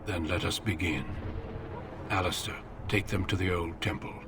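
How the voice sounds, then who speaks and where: Actor as a grave low-voiced commander. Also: male voice, steady tone, lightly acted. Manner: measured, formal, authoritative. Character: grave, commanding veteran warrior order leader. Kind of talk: scripted fantasy game dialogue.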